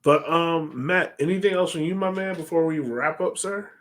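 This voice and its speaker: A man's voice: a little high, normal speed, fairly bright tone. An American man in his thirties chats casually and easygoing as a sports podcast host on a video call. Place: home room, indoors, on a video call.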